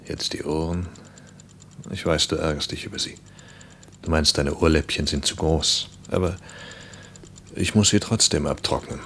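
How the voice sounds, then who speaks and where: Very deep, gentle devoted lover. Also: male voice, fairly even intonation, normal speed, vocal fry, long pauses, slight background noise, exaggerated voice. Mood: tender, caring. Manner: gentle, coaxing, reassuring. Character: tender, devoted male lover caring for his woman. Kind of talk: intimate radio play acting.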